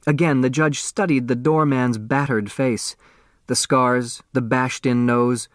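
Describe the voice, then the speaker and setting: A man's voice: mid-range voice, steady tone, unhurried, no echo, studio quality. A man reading a story aloud as a scripted audiobook narration.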